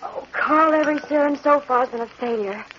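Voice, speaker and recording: An actress playing an anxious, devoted young wife in earnest, melodramatic 1940s radio style. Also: female voice, high voice, a little fast, background noise, natural voice. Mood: uneasy. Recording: muffled vintage 1940s radio recording.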